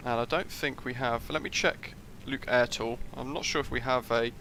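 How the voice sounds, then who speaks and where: Bright-voiced British guy streaming. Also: medium pitch, moderate pace, a little hiss or hum, poor audio. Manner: relaxed, rambling, many fillers, answers chat. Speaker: flight sim streamer. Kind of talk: casual live-stream commentary.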